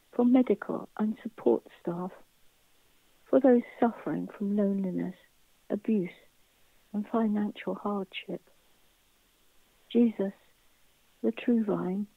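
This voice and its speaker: A woman's voice: medium pitch, vocal fry, dry recording. Woman leading prayers, dull phone-like sound. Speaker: prayer leader. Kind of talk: reading scripted church intercessions. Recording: dull, phone-like narrow band.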